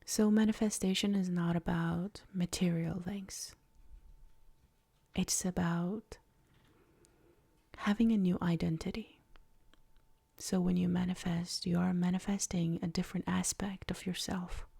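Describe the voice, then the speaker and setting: A woman guiding a soft-spoken ASMR meditation in a breathy, near-whispered voice, with gentle, deliberate phrasing. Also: fairly low pitch, little pitch movement. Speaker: ASMR creator and meditation guide.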